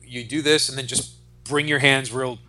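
A man in his forties chatting casually and profanely, retelling stories in an animated way as on a podcast. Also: medium pitch, moderate pace, soft background music. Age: 40s. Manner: animated retelling with acted-out quotes. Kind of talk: casual, profane podcast chat about comics.

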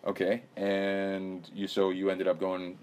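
A man's voice: sits low, monotone, slow, a little mellow, a little hiss or hum. A man hosting a podcast interview in a casual, rambling way, hedging and laughing often. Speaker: podcast host.

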